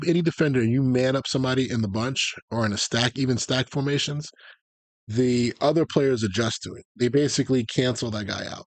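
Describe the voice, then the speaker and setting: A man's voice: medium pitch, a little mellow, no room sound. A man giving a casual live-stream lecture on video game tactics, informal and conversational, wandering into tangents as he explains.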